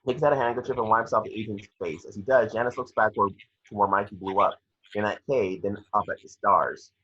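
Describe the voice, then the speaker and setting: A man reads stage directions for a film script in a lowish, slightly husky voice. He is matter-of-fact and trips on the odd word. The home recording is a bit dull. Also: fairly even intonation, a little fast, a bit bright. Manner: matter-of-fact, with stumbles over words. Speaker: narrator reading action descriptions. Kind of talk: scripted narration at a table read.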